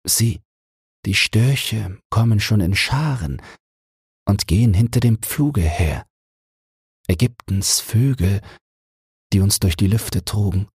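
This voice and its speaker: A man voicing a gentle, grave queen of summer in a fairy-tale audiobook, speaking softly and slowly with wistful yearning. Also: fairly low pitch, expressive, breathy edge, no echo, clean studio sound, pitched above the performer's own voice, full character voice. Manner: slow, soft, yearning.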